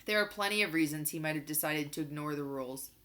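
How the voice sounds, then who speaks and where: Woman reading as calm, reasoning dad. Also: sits low, fast talker. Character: calm reasoning father, middle-aged man.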